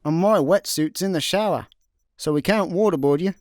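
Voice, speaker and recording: An actor playing a dim, laid-back intergalactic slacker on the run in a comedic sci-fi radio play, deadpan, with a Scottish accent. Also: male voice, high voice, moderate pace, dry recording, studio quality, close to an everyday voice. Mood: deadpan banter.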